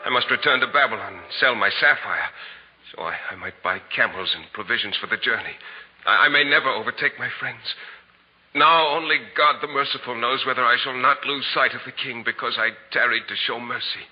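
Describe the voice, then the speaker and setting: An actor in a 1940s radio drama playing a devout, compassionate Persian Magian with solemn, formal archaic speech. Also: male voice, mid-range voice, brisk, close to an everyday voice. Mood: troubled. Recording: muffled, noisy 1940s radio recording.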